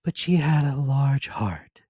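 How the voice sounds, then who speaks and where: Casting: calm ghostly guide, solemn storybook delivery, slightly lifted pitch, played by a male reader. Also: slow, husky, no room sound. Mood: solemn, gentle.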